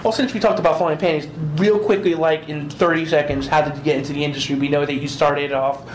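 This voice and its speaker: A woman's voice: low-pitched, fairly even intonation, quick pace. A woman interviewer asking questions in a playful, joking way. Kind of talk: casual interview. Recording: echoey room with faint background noise.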